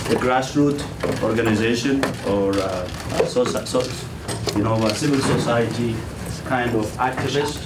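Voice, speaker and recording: Hesitant professor in an echoey classroom. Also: male voice, on the low side, distant mic, background noise. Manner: hesitant, many uh fillers, reads from a sheet. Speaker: professor introducing a guest. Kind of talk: class introduction. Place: classroom with a projector screen. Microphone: far camera.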